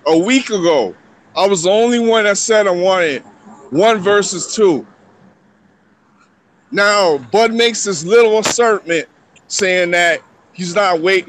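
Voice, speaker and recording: High-voiced exasperated man. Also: fairly bright tone, slight background noise. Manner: exasperated, mocking, swears. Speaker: caller. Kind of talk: casual debate on a call.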